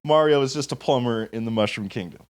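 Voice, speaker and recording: A man's voice: mid-range voice, quick pace, clean tone. A man co-hosting a gaming podcast in casual banter, swearing freely and rambling through half-finished thoughts full of filler words. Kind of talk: casual banter about games and films.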